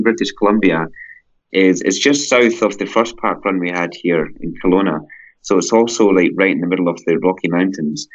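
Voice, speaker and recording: A Scottish man with a deep, low-pitched voice talks as a podcast guest, eager and rambling, piling up long lists as he goes. His voice comes through a dull, lo-fi remote line that takes the brightness off the sound. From Scotland. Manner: enthusiastic, rambling, lists at length. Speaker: parkrun Canada guest. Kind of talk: conversational podcast interview.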